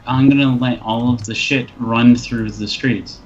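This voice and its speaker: A man's voice: mid-range voice, fairly even intonation, measured pace, muffled recording, a little hiss or hum. A man playing a tabletop role-playing game, chatting casually and crudely with plenty of swearing. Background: background music.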